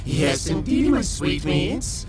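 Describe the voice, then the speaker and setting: A man's voice: on the high side, sing-song, a little slow. A man voicing a giant female alien caterpillar in a sci-fi audio drama, menacing and theatrical, cooing sweet endearments amid threats. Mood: gleeful menace. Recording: loud music behind.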